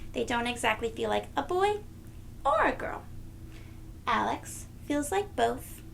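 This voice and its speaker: A woman reading a children's picture book aloud as a storyteller, in a deliberate, teacherly, sing-song way for young listeners. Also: on the high side, vocal fry, a little hiss or hum.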